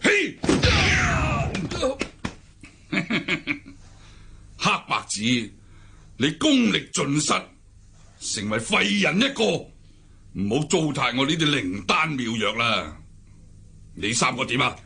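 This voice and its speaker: An actor with a Hong Kong accent playing a domineering, cunning older cult leader in a radio drama, theatrical and raspy. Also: male voice, mid-range voice, big pitch swings, slow, pauses often, bright, forceful tone, full character voice. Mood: menacing, gloating. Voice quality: raspy, gravelly. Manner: theatrical, commanding. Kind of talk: Cantonese radio drama acting.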